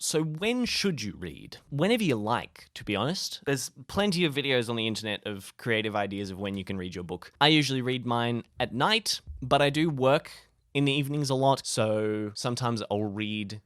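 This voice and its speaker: A man's voice: mid-range voice, animated, moderate pace, fairly bright tone, no echo, studio quality. A man giving casual advice to camera in a playful, self-deprecating way with comic asides. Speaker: YouTube creator sharing tips.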